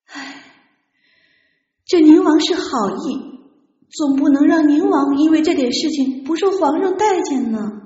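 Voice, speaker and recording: A woman's voice: sits high, unhurried, pauses often, mellow, lo-fi sound. A woman playing a timid, flustered young palace eunuch in an audiobook, with a meek, stammering delivery. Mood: meek explaining. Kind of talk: audiobook character acting.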